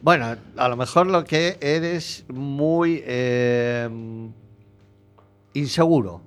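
A male interviewer with an ordinary mid-range voice chats casually with a musician. He jokes and laughs easily as he asks his questions. Faint music plays quietly behind him. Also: mid-range voice, very slow. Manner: relaxed, joking, laughs easily.

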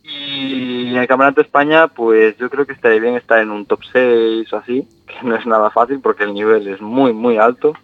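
A man's voice: mid-range voice, animated, a little fast, creaky, few pauses, no echo. A young man from Galicia, a trial rider, calling in by phone to a radio show and talking in an easygoing way. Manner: easygoing, laughs readily. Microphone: phone line. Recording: dry tinny phone line.

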